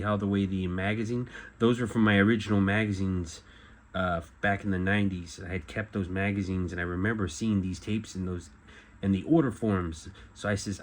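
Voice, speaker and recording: A middle-aged man giving a casual show-and-tell as a collector, talking in a halting, rambling way and often correcting himself. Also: lowish voice. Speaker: collector presenting memorabilia. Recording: clear background noise.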